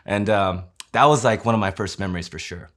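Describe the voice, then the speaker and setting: Man, late 30s, deep voice, conversational, interview storytelling. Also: a little fast. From Kansas City, Missouri, USA. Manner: conversational, often adds tag questions and fillers.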